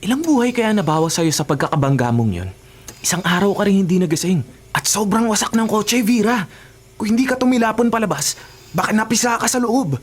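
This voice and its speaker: Blunt, scolding Filipino racing teammate. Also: male voice, high-pitched, expressive, a little fast, slightly rough. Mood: reproachful. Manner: blunt, reproachful. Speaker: voice actor in a radio drama. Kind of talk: Filipino radio drama acting.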